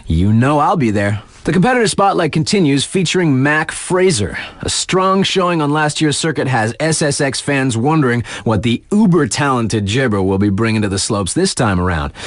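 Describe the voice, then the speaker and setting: A man acts out a young snowboard radio DJ for a video game. His delivery swings widely in pitch, slangy and playful as he hypes up the riders. The sound is close and dry, studio-clean. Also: no echo, lightly acted. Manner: slangy, playful, conversational hype. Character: laid-back, excitable young male snowboard radio DJ. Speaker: radio DJ and sports announcer.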